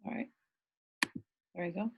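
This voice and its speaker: A woman's voice: measured pace, narrow, tinny audio, heavy background noise. A woman with an Irish accent leading a live online business masterclass, chatty, laughing often and reading out viewer comments.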